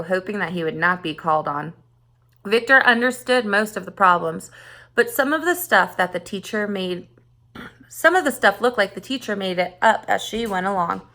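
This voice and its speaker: A woman's voice: medium pitch, animated, moderate pace, sharp tone. Woman reading a school story aloud. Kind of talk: read-aloud narration of a children's short story.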